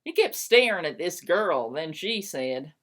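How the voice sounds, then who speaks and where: A woman's voice: lowish voice, big pitch swings, unhurried. A woman narrating a first-person story as a theatrical dramatic reading.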